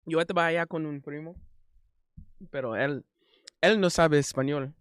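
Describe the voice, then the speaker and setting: A Haitian man speaking Spanish as a learner, chatting casually on a stream, halting and correcting himself often. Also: fairly high pitch, fairly bright tone, smooth, no room sound, clean studio sound. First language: not Spanish. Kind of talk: casual chat.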